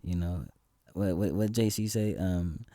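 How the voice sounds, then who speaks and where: A man's voice: low voice, measured pace, no room sound, clean studio sound. A man from the San Francisco Bay Area, a guest musician chatting casually, with lots of ums and restarts. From San Francisco Bay Area, California. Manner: relaxed, many ums and restarts. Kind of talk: casual conversation.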